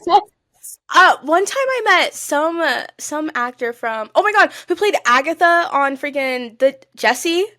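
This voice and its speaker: Effusive young woman with a high voice. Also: lively intonation, a little slow, sharp tone. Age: young adult. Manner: effusive, emphatic, colloquial. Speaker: podcast co-host. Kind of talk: casual chatty podcast conversation.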